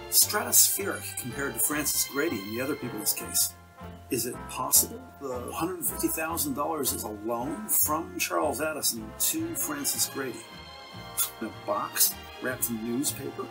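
A man's voice: mid-range voice, expressive, moderate pace, creaky, rough recording. A man reading scripted narration for a detective audio drama. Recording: music under the voice. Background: dramatic score music.

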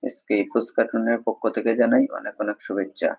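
A man's voice: on the low side, little pitch movement, fast talker, gravelly, darkish tone, slightly rough. A man hosting a casual live stream, repeatedly addressing viewers as friends and urging them to join in. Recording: dull, lo-fi live stream audio.

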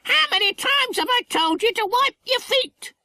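A man's voice: very high voice, animated, moderate pace, creaky, pushed and bright, no room sound, studio quality, voice pushed up, heavily acted. A man voicing a bossy pet parrot in a storybook, nagging and scolding shrilly.

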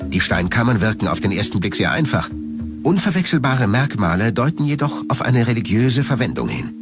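Male documentary narrator over music. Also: mid-range voice. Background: background music.

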